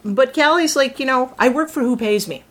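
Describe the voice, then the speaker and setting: A woman's voice: sits high, brisk, a bit bright. A woman voice actor in a casual round-table chat, animatedly acting out her character's thoughts as she talks.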